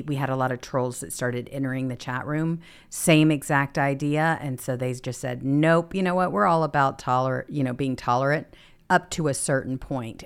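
A woman co-hosting a political talk show, speaking in an emphatic, assertive way. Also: sits low. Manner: emphatic, agreeing, building to raised excitement. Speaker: co-host.

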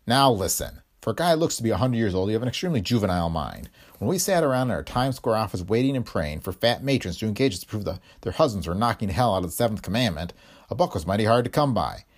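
A man's voice: lowish voice, big pitch swings, fast talker. A man voicing a dry, pompous male hotel detective who speaks in a formal, long-winded way.

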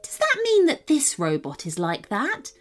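A woman voicing a calm, wise female unicorn in a children's storybook, speaking patiently and with gentle challenge. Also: high voice, unhurried, voice pushed up, full character voice. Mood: gently questioning.

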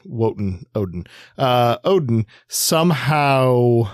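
Low-voiced podcast co-host, halting and musing, dry close sound. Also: male voice, animated, dry recording. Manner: hesitant, thinking aloud with many ums. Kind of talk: casual comics discussion.

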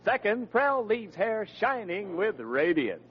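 A man's voice: high-pitched, big pitch swings, slow pace, husky. A man with an American accent as a 1940s radio announcer, reading scripted adverts and credits. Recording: muffled old radio recording with music.

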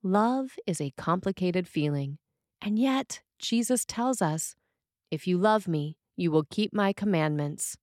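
A woman's voice: on the low side, expressive, measured pace, a little mellow, dry recording. Woman reading a sermon, dry close sound. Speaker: preacher reading a written sermon.

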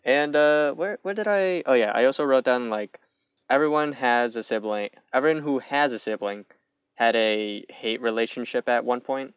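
A man's voice: medium pitch, moderate pace, clear, resonant tone, no room sound. A young man co-hosting a podcast, casually recapping a cartoon episode and swearing freely. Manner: loose, swears casually, narrates plot points. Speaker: podcast co-host.

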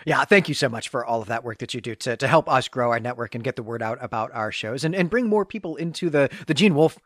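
A man co-hosting a podcast in a casual, conversational style with somewhat halting phrasing. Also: mid-range voice, lively intonation, a bit bright, no room sound, studio quality. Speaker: podcast co-host. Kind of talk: casual chat.

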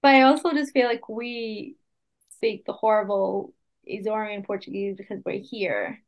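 A young woman co-hosting a podcast, chatting casually in an animated way. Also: mid-range voice, moderate pace, lo-fi sound. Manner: animated, laughs easily. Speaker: podcast co-host. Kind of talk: casual chat.

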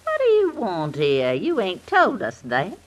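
A woman's voice: mid-range voice, wildly swooping pitch, measured pace. An actress playing a brassy, sly, gossipy female lodging-house keeper, theatrical and cajoling, in an old radio drama. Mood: brusque, defiant.

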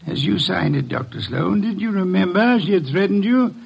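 An imperious American detective from classic radio mystery. The voice is gravelly and rough, pitched a bit high for a man. He speaks with pompous deliberation and dry sarcasm, probing and shrewd. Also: expressive, moderate pace, full character voice. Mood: accusing, deliberate. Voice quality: gravelly, husky. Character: shrewd, imperious male detective, brilliant and dryly sardonic. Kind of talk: old-time radio detective drama acting.

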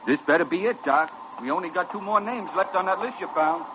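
An actor playing a dim, eager, loyal sidekick with slangy American working-class speech in an old-time radio drama. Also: male voice, high-pitched, fast talker, natural voice. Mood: eager, surprised. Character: dim, eager, thuggish sidekick ambulance driver, loyal to his boss. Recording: dull, noisy old radio recording.

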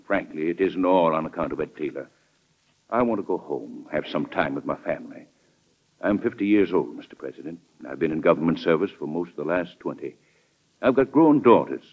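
A stubborn, principled American cabinet statesman in his fifties from a vintage radio play. He speaks formally and emphatically in a deep voice with dramatic swings, through dull old sound. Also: expressive, brisk. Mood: weary, resigned. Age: 50s. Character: principled, hot-tempered fifty-year-old cabinet statesman, deferential yet stubborn.

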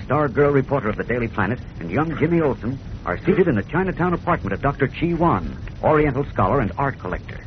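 A man announcing and narrating a 1940s radio serial in a grand, emphatic, dramatic style. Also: mid-range voice. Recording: muffled old radio recording, faint noise.

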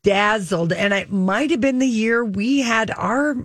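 A woman's voice: a little high, a little slow, no echo, studio quality. A woman co-hosting an entertainment talk show in casual, teasing banter, often jumping in over others.

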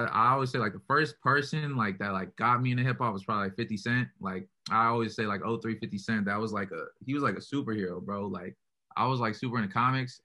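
A young man with a deep voice, a rapper answering interview questions in a relaxed way, full of "like" and "you know".

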